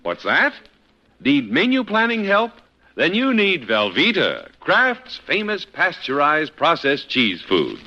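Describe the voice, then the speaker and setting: A male announcer from 1950s radio delivers polished, emphatic pitches. His voice is bright and forceful, swinging widely in pitch, on a slightly dull vintage recording. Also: huge pitch swings, takes it slowly.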